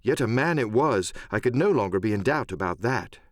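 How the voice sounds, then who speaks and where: A man giving dramatic, measured, theatrical narration of an adventure novel. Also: lowish voice, lively intonation, dry recording, studio quality. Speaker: audiobook narrator.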